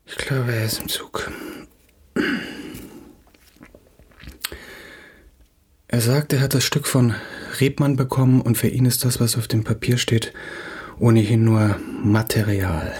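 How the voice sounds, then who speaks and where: A brooding, agitated young playwright in a radio play. His voice is very husky and medium in pitch. He stammers and repeats himself, halting as he goes. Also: steady tone, darkish tone. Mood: bitter, doubting. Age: young adult.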